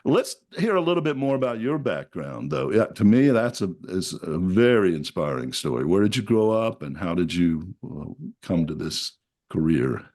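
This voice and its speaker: A man's voice: deep voice, very animated, normal speed, darkish tone. A man hosting an interview show, encouraging his guest with short affirmations and eager follow-up questions. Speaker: podcast host.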